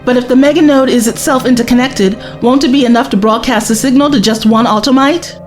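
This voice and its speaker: A woman's voice: a little high, a little fast, faint music behind, lightly acted. An actress playing a spirited, sceptical young woman, a traveller's companion in an audio drama, questioning and cheeky. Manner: questioning, cheeky, exasperated edge.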